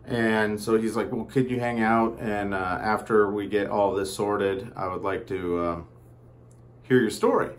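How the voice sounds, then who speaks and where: A man with a fairly low voice tells a story in a loose, conversational style. He rambles and pauses often, wandering into asides before picking the thread back up. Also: steady tone. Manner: rambling and halting, with frequent asides. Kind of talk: casual personal storytelling.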